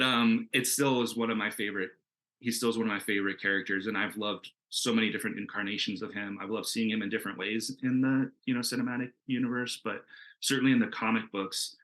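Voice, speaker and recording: A man's voice: lowish voice, bright, forceful tone, rough recording. A man talking casually as a comics creator on a panel, in an enthusiastic, rambling way.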